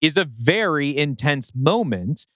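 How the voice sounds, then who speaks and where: A man co-hosting a podcast, casually critiquing a story and acting out imagined quotes in an animated way. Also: fairly high pitch, a little slow, no echo, clean studio sound.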